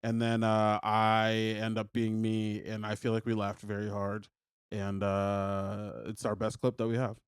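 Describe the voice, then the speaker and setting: A man co-hosting a podcast in loose, joking casual chat, often trailing off into quiet asides. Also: fairly low pitch, completely flat delivery, a little slow, smooth, dry recording, clean studio sound. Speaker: podcast co-host.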